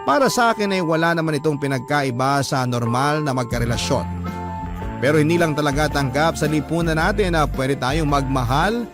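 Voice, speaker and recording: Radio drama narrator, male actor: confessional lovesick man, slightly high pitch, earnest scripted delivery over a clear music bed. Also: brisk, natural voice. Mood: reflective, earnest. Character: lovelorn, confessional man narrating his letter of forbidden love.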